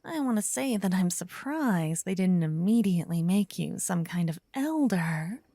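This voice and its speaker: Breathy, earnest young paladin girl played by a woman. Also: fairly low pitch, lively intonation, dry recording, studio quality. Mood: friendly, curious. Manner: soft, breathy, gently flustered, slightly halting. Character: earnest, bashful young female paladin, affectionate fantasy adventurer. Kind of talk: scripted ASMR roleplay addressed to the listener.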